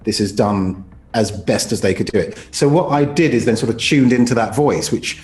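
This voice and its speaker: A man's voice: on the low side, moderate pace, darkish tone, roomy sound. A male voice actor talking casually in an interview about his craft. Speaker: voice actor, narrator.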